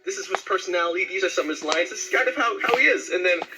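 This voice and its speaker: Higher-voiced man chatting, noisy recording. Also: very fast. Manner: animated storytelling, acting out quoted exchanges. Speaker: voice actor being interviewed. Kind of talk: casual interview chat.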